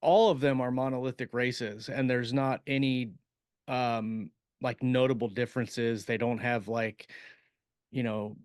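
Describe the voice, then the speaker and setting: A man who hosts a podcast and writes science fiction, talking casually and haltingly, often searching for words as he thinks aloud. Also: mid-range voice, little pitch movement, a little mellow, smooth, no echo, clean studio sound. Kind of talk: casual discussion of writing craft.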